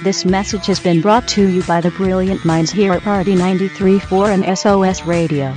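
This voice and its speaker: A woman's voice: on the low side, steady tone, moderate pace, over music, close to an everyday voice. A woman playing an impersonal, composed public-address announcer reading formal scripted notices in a detached manner.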